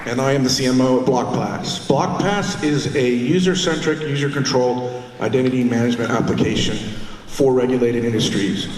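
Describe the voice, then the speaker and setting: A man's voice: medium pitch, moderate pace, a little mellow, a little hiss or hum. Male speaker, hesitant with restarts, distant mic, long hall reverb. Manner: hesitant, repeats words. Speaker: company marketing chief. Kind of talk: conference talk. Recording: echoey hall from far off.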